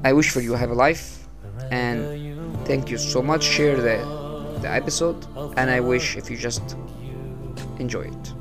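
Slow-talking Egyptian man with halting speech. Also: medium pitch, music in the background. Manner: halting, repeats words, non-native phrasing. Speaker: podcast host. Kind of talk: casual motivational monologue. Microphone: small cheap mic.

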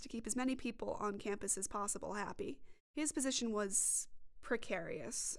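A woman reporter reading scripted narration for a podcast documentary. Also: medium pitch.

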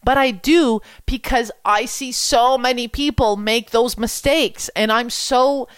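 A young woman from Quebec, a French speaker, coaching about business with energetic rhetorical questions. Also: fairly high pitch, measured pace, clear, resonant tone, no room sound. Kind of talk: coaching talk to camera.

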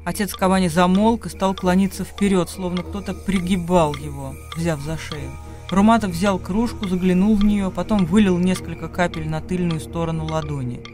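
High-pitched male radio drama narrator. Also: normal speed. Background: music.

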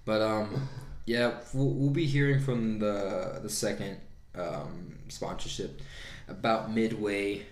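A man's voice: on the low side, steady tone, slow, echoey room. A man with a Texas twang co-hosting a casual podcast from a living room. He talks in a loose, laid-back way, swears freely and laughs often. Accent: Texan. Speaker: podcast co-host.

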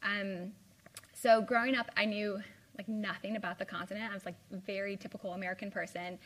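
A young woman from the American Midwest speaks to a small university audience in a relaxed, informal way. Her talk is chatty and full of "like" and "um", and her pitch sits in the middle. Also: brisk, poor audio. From Midwest US (Indiana). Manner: chatty, lots of "like" and "um". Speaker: guest speaker. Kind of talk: informal live talk with slides. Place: university lecture room.

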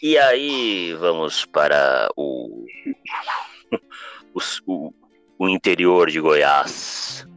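Male co-host, very low voice with lively intonation, short interjections, faint music bed. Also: very slow, long pauses. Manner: brief agreeing interjections. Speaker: podcast co-host. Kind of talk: casual chat.

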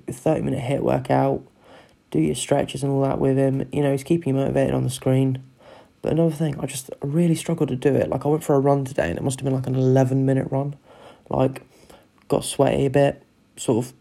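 A man with a croaky voice, rambling through a sweary, casual solo chat as a podcast host. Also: medium pitch, warm tone. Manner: rambling, sweary, loses his train of thought.

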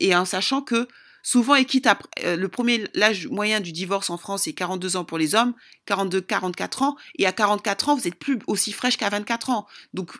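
A woman with a mid-pitched voice and a clear fry in it talks straight to her followers. She gives opinionated advice informally, as a vlogger would. Her delivery is emphatic and insistent, often repeating a point to press it home. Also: fairly bright tone.

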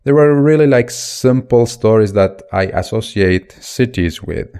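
A man's voice: medium pitch, expressive, unhurried, warm tone, smooth. A man in his twenties from Iraq, hosting a solo podcast and talking off the cuff with restarts and fillers. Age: 20s. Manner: unscripted, thinking aloud with fillers and restarts. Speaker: podcast host.

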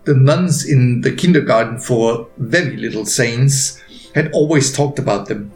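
A man reading his own story aloud as a narrator, gently wry and unhurried, with deliberate pauses. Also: medium pitch, reverberant, faint music behind.

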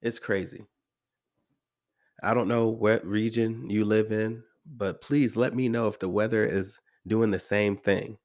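An American man hosting a podcast, talking casually and repeating phrases for emphasis, with a congested, slightly hoarse voice from allergies. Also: lowish voice, steady tone, measured pace, a little mellow. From American Midwest. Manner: conversational, emphatic repetition. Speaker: podcast host. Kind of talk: casual motivational monologue.

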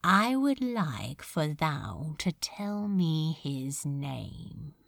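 A woman's voice: very animated, creaky, a bit bright, no echo, studio quality, full character voice. A woman doing a cold, menacing demon lord. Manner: slow, low, archaic speech.

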